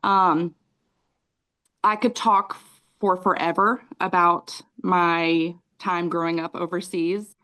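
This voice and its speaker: A woman's voice: lowish voice, steady tone, slow pace, bright, forceful tone. A woman in her thirties, a podcast guest, telling her life story in casual chat, with many ums and restarts. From Raleigh, North Carolina; raised in Cambodia.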